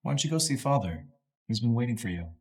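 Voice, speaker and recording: Young male heir, smug, scheming, swooping lively pitch, played by a man. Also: quick pace, husky. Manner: smug, taunting, then indignant. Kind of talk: melodrama acting.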